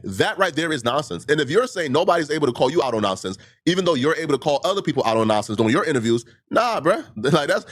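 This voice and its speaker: A fairly high-voiced man who stutters, his pitch leaping up and down as he presses his case. He speaks as a livestreamer answering his critics, hammering the same points and firing off rhetorical questions. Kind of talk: heated monologue answering critics.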